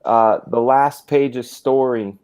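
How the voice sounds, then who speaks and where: A man's voice: medium pitch, fairly even intonation, slow, darkish tone, clean tone. A man talking as a guest artist in a casual video-call chat, explaining things at length with many restarts.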